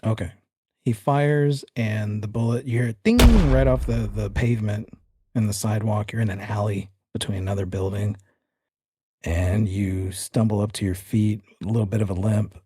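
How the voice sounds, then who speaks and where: A man's voice: fairly low pitch, steady tone, normal speed, warm tone, breathy edge, dry recording, studio quality. A man acting as game master, narrating a tabletop game with dramatic storytelling and casual banter.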